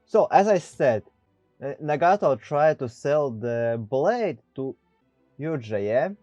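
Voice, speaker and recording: Mellow man doing casual game commentary. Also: medium pitch, expressive, slow, dry recording, dull audio. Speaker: gaming video creator. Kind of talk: casual let's play commentary. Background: game music.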